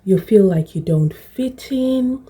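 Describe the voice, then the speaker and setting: A woman in her early forties with a mixed Zimbabwean and British accent, talking reflectively and deliberately about personal experience, repeating phrases for emphasis. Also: medium pitch, lively intonation, noisy background. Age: early 40s. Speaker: video blogger. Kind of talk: personal reflective talk to camera.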